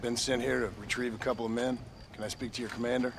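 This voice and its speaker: An actor voicing a laconic, weary outlaw-turned-hunter who speaks in a dry, terse and polite way. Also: male voice, medium pitch, flat delivery, fast, vocal fry, lightly acted. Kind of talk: video game cutscene acting. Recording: noisy outdoor game soundscape.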